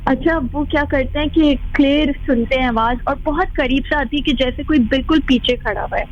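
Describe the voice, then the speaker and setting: A woman's voice: sits high, fairly quick. A woman calling a radio show by phone, telling a story casually and mixing in English words. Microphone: phone call. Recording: dull lo-fi phone-in line.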